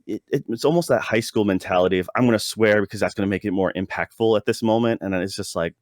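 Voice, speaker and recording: A man's voice: on the low side, fast talker, no echo, clean studio sound. A man co-hosting a casual review chat, talking in a hesitant, rambling way and often interrupting himself. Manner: hesitant, rambling delivery with many self-interruptions. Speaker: podcast co-host reviewing a show.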